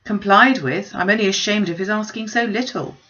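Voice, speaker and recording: A woman voicing a wry, reluctant, resigned middle-aged gentleman, a father in a storybook, with a dry manner. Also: medium pitch, a little fast, muffled recording, pitched above the performer's own voice, lightly acted. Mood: exasperated.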